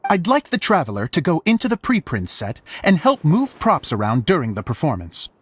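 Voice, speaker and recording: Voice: medium pitch, wildly swooping pitch, fairly quick, breathy edge. An actor playing an earnest, dramatic amateur stage director, humble and slightly flustered, in an anime video game. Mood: earnest, uneasy. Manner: theatrical, earnest, hesitant when embarrassed.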